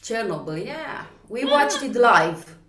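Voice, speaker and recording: A woman in her thirties from Romania with a Romanian accent, doing a stand-up set over a video call from home, dry and unhurried, laughing at her own jokes. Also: on the high side, expressive, roomy sound, a crowd in the background. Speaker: stand-up comedian. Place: home, at night.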